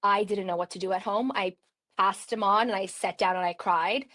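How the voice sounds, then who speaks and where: A woman's voice: medium pitch, fairly even intonation, moderate pace, fairly bright tone. A woman in her thirties from Turkey, a podcast guest telling stories casually, with lots of "like" fillers and frequent laughs. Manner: chatty, many "like" fillers, laughs often. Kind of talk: casual conversational storytelling.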